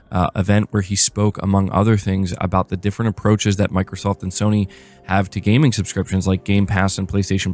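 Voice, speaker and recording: A man's voice: fairly low pitch, darkish tone. A man hosting a gaming news show, reading reports aloud and adding casual commentary.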